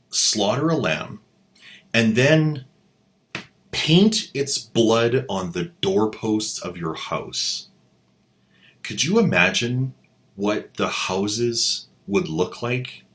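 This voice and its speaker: A man's voice: on the low side, fairly bright tone. A man hosting a commentary video who mocks the drama clips he plays with a sarcastic, incredulous delivery. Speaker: host, commentator.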